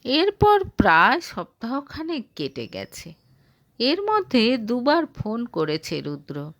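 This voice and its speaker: Female narrator for romance audio story; emotive, unhurried, widely varied melody. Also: a little high, wildly swooping pitch, fairly bright tone, no room sound. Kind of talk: dramatized romantic story reading.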